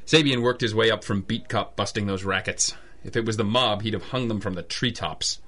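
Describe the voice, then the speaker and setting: An actor playing a tough, wry private detective, dry and world-weary in old-time radio drama style. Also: male voice, on the low side, brisk, a bit bright. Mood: dry, argumentative. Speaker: detective and narrator.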